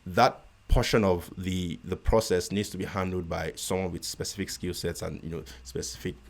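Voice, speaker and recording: Very deep-voiced Nigerian man. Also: fairly even intonation. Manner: measured, with frequent restarts and stutters. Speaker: guest, real estate investor. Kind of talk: casual interview conversation.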